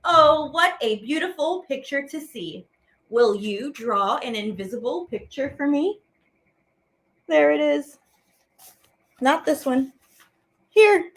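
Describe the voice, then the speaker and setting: A high-voiced woman reads children's verse aloud as a teaching artist. Her delivery is theatrical and playful, swooping widely in pitch. She takes it slowly, stretching out words for effect. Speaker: teaching artist and program host. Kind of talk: expressive read-aloud of children's poems.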